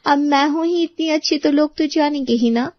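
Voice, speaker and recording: Woman putting on a haughty high-voiced rich neighbour lady. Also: moderate pace, no echo, muffled recording, natural voice. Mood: smug, boastful. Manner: condescending, showy.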